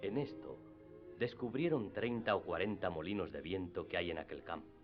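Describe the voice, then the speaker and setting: A man narrating a literary radio drama in a measured storytelling manner. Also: low voice, close to an everyday voice. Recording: slightly dull radio drama with music.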